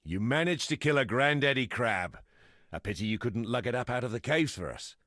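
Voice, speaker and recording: An actor playing a gruff, weary exile fighter in a fantasy video game, a plain-spoken, wry camp leader with a gravelly voice. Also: male voice, mid-range voice, lively intonation, brisk, a bit bright, no echo, studio quality. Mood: wry gratitude. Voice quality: gravelly, husky. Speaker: non-player quest character.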